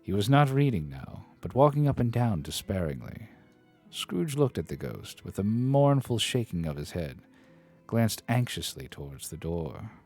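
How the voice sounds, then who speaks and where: A man's voice: lowish voice, big pitch swings, moderate pace, darkish tone. A man reading a classic novel aloud on a live stream, narrating dramatically with self-deprecating asides. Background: faint study music.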